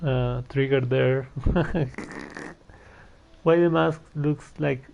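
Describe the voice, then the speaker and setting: A man with a slow, creaky, relaxed voice comments casually on a game he is playing. The sound is dull and lo-fi, with faint music behind. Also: medium pitch. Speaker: player reacting to the game.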